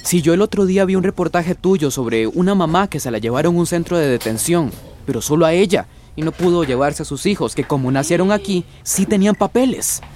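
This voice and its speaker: A man's voice: on the high side, expressive, fairly quick, darkish tone, slight background noise, close to an everyday voice. A man playing a young adult son in a radio drama, polite but easily shaken and hesitant when nervous. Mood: concerned.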